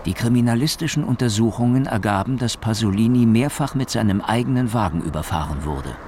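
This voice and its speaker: A man's voice: lowish voice, expressive, a little fast, noisy background, close to an everyday voice. A man narrating a radio documentary drama in a sober, scripted manner. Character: sober male narrator recounting a poet's murder.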